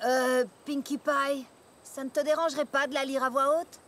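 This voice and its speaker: High-voiced, sensible young pony played by a woman. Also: flat delivery, normal speed, very noisy, lightly acted. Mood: dismayed. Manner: practical, firm, skeptical. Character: sensible, headstrong young female farm pony. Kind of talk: French cartoon dub acting.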